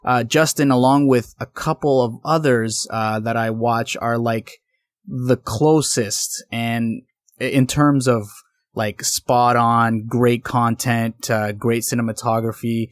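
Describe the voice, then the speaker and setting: A man's voice: mid-range voice, fairly even intonation, takes it slowly, no echo, clean studio sound. A man from Canada hosting a podcast, asking questions in a friendly, easygoing way. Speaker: podcast host. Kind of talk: casual podcast interview.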